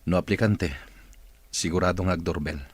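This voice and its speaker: A man's voice: deep voice, steady tone, normal speed, slightly rough, no room sound. A man playing an irritable, suspicious wealthy boss in a radio drama, with a curt, questioning manner. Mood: impatient. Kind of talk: Ilocano radio drama acting.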